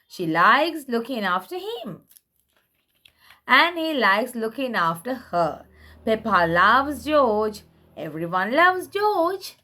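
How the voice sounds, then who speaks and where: A woman presenting and reading picture books for toddlers, speaking simply and deliberately, word by word. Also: on the high side, sharp tone. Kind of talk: slow read-aloud for toddlers.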